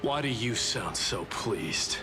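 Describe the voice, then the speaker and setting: Slow, wide-swinging proud warrior king. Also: lowish voice, expressive. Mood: low, probing. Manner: declamatory, regal, deliberate delivery. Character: proud warrior king and demigod of strife, fierce, defiant. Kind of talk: dramatic video game voice acting. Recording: game dialogue under loud music.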